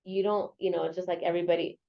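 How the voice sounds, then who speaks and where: A woman's voice: on the low side, flat delivery, rapid-fire, vocal fry, muffled recording. A woman from El Paso, an agent who leads a local association, answering interview questions in an earnest way that is full of "you know" fillers. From El Paso, Texas. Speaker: real estate agent and local association president. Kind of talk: interview guest, casual conversation. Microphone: video or phone call.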